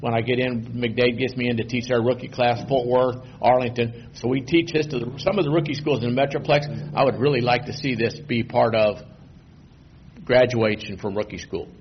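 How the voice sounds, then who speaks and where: A man, giving a relaxed, rambling presentation to a committee. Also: mid-range voice, moderate pace, slight background noise. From Texas. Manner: relaxed, rambling, self-correcting. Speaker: guest presenter. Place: meeting room. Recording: dull lo-fi public meeting recording.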